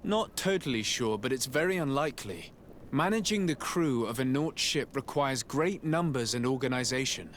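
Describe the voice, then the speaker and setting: A man playing a composed, courteous noble envoy in a video game, speaking formally, politely and in a measured way. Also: medium pitch, expressive, slight background noise, lightly acted. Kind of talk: video game cutscene acting.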